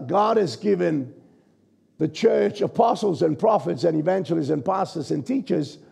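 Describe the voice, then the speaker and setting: A man in his sixties, a pastor from New Zealand, preaching a sermon from a church stage with a croaky, gravelly voice and a conversational manner. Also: high voice, warm tone. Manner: conversational preaching, checks in with the congregation. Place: church stage, large indoor hall. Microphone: stage mic at a lectern, far camera.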